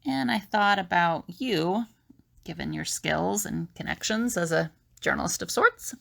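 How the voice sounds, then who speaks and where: Woman acting earnest fugitive lady in audio drama, lots of vocal fry, lowish, determined. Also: lively intonation, a little slow, fairly bright tone, full character voice. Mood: earnest, explaining. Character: resolute woman fugitive with visions, earnest planner.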